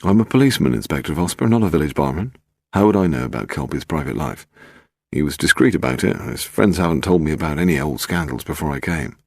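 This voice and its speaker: Man acting guarded British police inspector, gravelly. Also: very deep voice, expressive, fast talker, no room sound, studio quality, exaggerated voice. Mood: defensive. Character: defensive, uncomfortable male local police inspector. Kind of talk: audiobook character dialogue.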